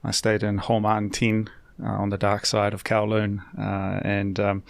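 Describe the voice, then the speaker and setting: Low, gravelly male podcast host. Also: no room sound. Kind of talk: interview questions.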